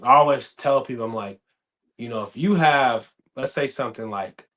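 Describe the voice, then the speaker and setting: A man in his thirties hosting a casual podcast chat, telling stories with lively acted-out quotes. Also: medium pitch, normal speed. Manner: animated storytelling, acts out quoted dialogue. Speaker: podcast host.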